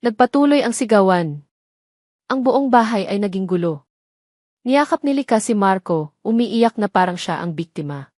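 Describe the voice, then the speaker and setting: A woman's voice: mid-range voice, lively intonation, normal speed, a little mellow, dry recording, studio quality. A woman narrating a scripted dramatic audio story.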